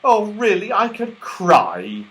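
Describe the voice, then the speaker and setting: A man's voice: high-pitched, wildly swooping pitch, takes it slowly, fairly bright tone, voice pushed up, heavily acted. A man voicing a cross young boy who grumbles and complains, in a children's storybook. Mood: dismayed. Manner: disgusted, grumbling.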